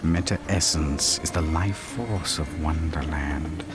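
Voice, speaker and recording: A very deep, sly cat guide. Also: male voice, loud music behind the voice. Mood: cryptic, advising. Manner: measured, aphoristic, condescending. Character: mangy grinning cat, sly, cryptic, superior male guide. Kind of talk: video game character acting.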